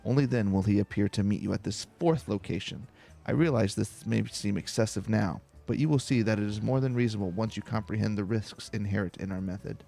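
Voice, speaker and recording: Low, gravelly man reading game dialogue. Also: faint music behind. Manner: relaxed, unhurried. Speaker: let's play host. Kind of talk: calm game playthrough, reading dialogue aloud.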